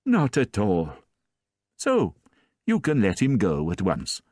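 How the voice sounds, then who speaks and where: A man doing a gentle, kindly old priest. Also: on the low side, wildly swooping pitch, no echo, clean studio sound, exaggerated voice. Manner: soft, warm, unhurried.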